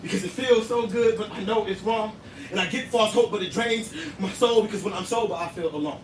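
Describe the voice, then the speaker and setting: High-voiced man performing slam poetry. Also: fairly quick. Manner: rhythmic, intense, wordplay-driven delivery. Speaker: slam poet. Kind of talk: spoken word performance. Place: live poetry venue, indoors. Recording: roomy, distant live venue sound with noise.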